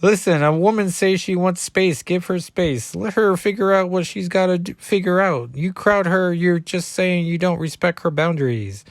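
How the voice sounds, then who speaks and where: A man's voice: sits high, normal speed, hardly any pauses, no echo, studio quality, pitched above the performer's own voice. A man voicing a folksy Cajun comic-book hero who hands out casual advice. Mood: advising.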